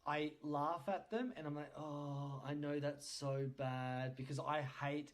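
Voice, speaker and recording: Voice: medium pitch, fairly even intonation, a little slow. Playful, teasing podcast host chatting casually.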